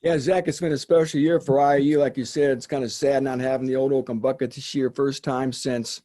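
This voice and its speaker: A man's voice: mid-range voice, steady tone, brisk, mellow. An American man asking questions on a sports talk show in a conversational, roundabout way.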